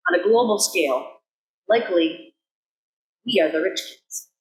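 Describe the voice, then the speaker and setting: A woman minister reading a sermon in an earnest, measured way, with deliberate pauses between phrases. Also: fairly low pitch, lively intonation. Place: church sanctuary, indoors. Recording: roomy echo of a sanctuary.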